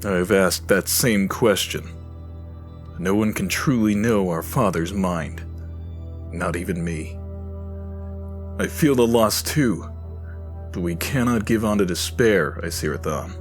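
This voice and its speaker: Male actor as wise fantasy leader: low, unhurried, solemn, steadfast, compassionate. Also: faint music behind. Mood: sorrowful, steadfast. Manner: solemn, measured, formal. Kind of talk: fantasy audio drama acting.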